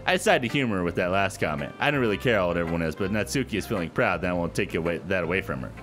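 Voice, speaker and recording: A man's voice: deep voice, animated, very fast, fairly bright tone. A man giving casual let's-play commentary and reading visual novel dialogue aloud. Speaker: gaming video host. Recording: game music under the voice. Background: game music.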